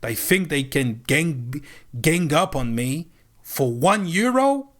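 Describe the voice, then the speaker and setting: A man telling a story on a live stream, animated and swearing freely as he acts out a heated argument. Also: a little high, slow pace.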